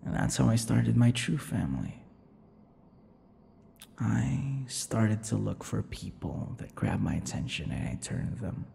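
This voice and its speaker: A man's voice: fairly low pitch, big pitch swings, dark tone, big-room sound, a little hiss or hum, exaggerated voice. A man playing an obsessive, vain, sadistic vampire lover in an intimate ASMR roleplay, drawing out words and slipping into whispers. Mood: reflective, smug. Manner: slow, breathy whispers and giggling, drawn-out words. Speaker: roleplay voice actor.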